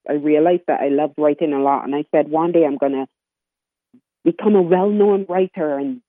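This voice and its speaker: A woman in her sixties from Barbados, a guest talking in an interview, often halting and restarting her sentences. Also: sits low, dry recording. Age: 60s. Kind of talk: interview conversation. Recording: tinny phone line.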